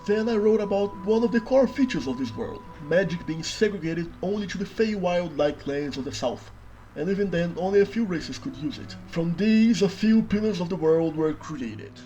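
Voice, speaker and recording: A man from Brazil whose first language is Portuguese narrates a scripted voice-over. His voice sits a little high, and his delivery is dry and self-mocking with bursts of dramatic emphasis. Soft music plays faintly beneath him. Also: lively intonation, normal speed, lo-fi sound. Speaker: worldbuilding video creator.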